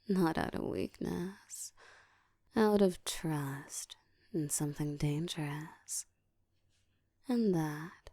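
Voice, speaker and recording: A woman playing a seductive, domineering ancient vampire in an intimate ASMR roleplay, speaking in a slow, breathy whisper with a teasing, commanding manner, close to the mic. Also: lowish voice, mellow, dry recording, full character voice. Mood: seductive, possessive. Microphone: close microphone.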